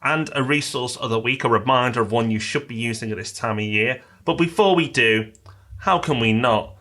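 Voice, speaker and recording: A man's voice: medium pitch, normal speed, pushed and bright. A British man co-hosting a podcast, chatting upbeat and reading listener mail aloud. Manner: upbeat, promotional, reads a listener review aloud. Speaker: podcast co-host. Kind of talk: casual podcast chat.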